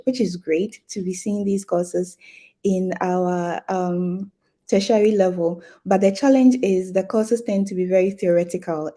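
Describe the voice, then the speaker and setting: A woman from Ghana speaking on a business panel, explaining her points in a measured way with frequent fillers. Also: mid-range voice, mellow. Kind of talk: panel discussion.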